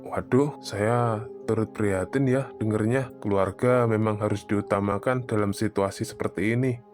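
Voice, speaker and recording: A man voicing a kindly, understanding boss in an animated drama. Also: fairly low pitch, normal speed, faint music behind, close to an everyday voice. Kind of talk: animated cartoon drama dialogue.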